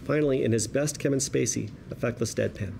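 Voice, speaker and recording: A man's voice: medium pitch, fairly quick, creaky, noisy background. A man reading screenplay stage directions as narrator at a drama table read.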